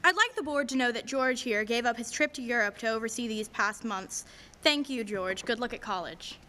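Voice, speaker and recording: A woman's voice: fairly high pitch, normal speed, bright, forceful tone. A young woman playing a formal, authoritative board chair in a radio play. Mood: brisk, formal. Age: young adult. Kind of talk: filmed radio play acting. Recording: noisy live stage recording with clicks.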